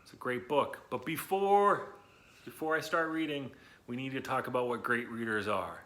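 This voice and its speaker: A man hosting a read-aloud lesson for children, talking in an animated, chatty, encouraging way with emphatic words and questions to his listeners. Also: mid-range voice, moderate pace. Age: adult, not young.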